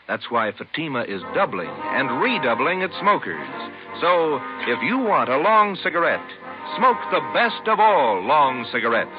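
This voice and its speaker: An American man reading a 1950s radio advertisement as an emphatic sales pitch. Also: big pitch swings, a little slow. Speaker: commercial announcer. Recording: muffled old radio, faint music.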